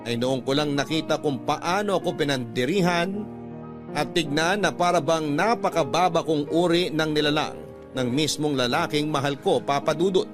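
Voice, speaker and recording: Male narrator, slightly high pitch, first-person letter reading, dramatic radio storytelling, prominent background music. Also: normal speed.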